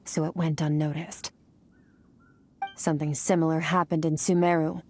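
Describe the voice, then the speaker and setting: A woman's voice: deep voice, little pitch movement, moderate pace, a little mellow, slight background noise. A woman playing an aloof, powerful young female warrior in an anime video game, cool, detached and condescending. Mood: cool, grave.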